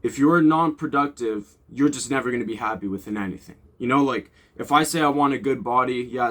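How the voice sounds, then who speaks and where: A man's voice: mid-range voice, a bit bright. A young man giving casual, off-the-cuff motivational talk as a vlogger, rambling and informal with blunt swearing.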